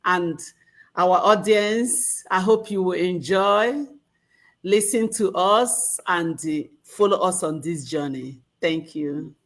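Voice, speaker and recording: Woman, low voice, very slow, weary and measured, video call. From Nigeria. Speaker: registered nurse and business owner. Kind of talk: self-introduction.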